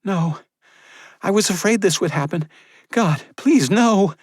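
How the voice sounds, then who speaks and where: A man's voice: sits high, measured pace, no echo, studio quality, pitched above the performer's own voice. A man voicing a woman who delivers a baby under pressure, urging and commanding her patient. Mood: alarmed. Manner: urgent, pleading, commanding.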